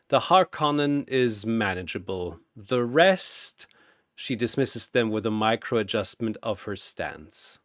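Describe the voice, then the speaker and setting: A man narrating a scripted story in a dramatic, theatrical and deliberate manner. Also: medium pitch, a bit bright, no echo, clean studio sound.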